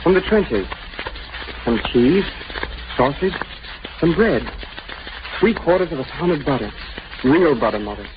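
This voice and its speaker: An actor playing a weary eighteen-year-old soldier son, halting and gently reassuring, in 1930s radio style. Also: male voice, fairly high pitch, expressive. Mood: gentle, eager. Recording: noisy, muffled 1930s radio broadcast.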